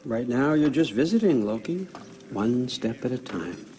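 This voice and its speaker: A man's voice: medium pitch, animated, unhurried, warm tone, close to an everyday voice. An actor playing a sly, powerful older god-king in a video game, offhand and commanding. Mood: easygoing persuasion. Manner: offhand, wry, talking like a busy boss. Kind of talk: naturalistic video game cutscene acting. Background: background music.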